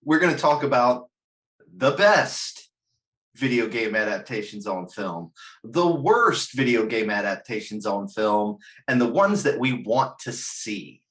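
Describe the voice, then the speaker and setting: Joking man hosting a lively casual chat. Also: medium pitch. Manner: joking, quick to laugh. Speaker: host of a gaming chat show.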